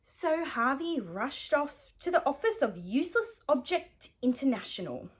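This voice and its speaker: An Australian woman reading a children's picture book aloud, animated and dramatic with playful emphasis. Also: sits high, a little slow. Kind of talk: expressive children's bedtime story reading.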